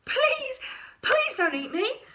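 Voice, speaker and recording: A huge male monster with the mind of an excited child, rambling loudly from one thought to the next in a bright, high, bouncing voice, as a woman reading a storybook puts him on. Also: unhurried, heavily acted. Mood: pleading then delighted. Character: giddy forgetful hulking male monster, childlike.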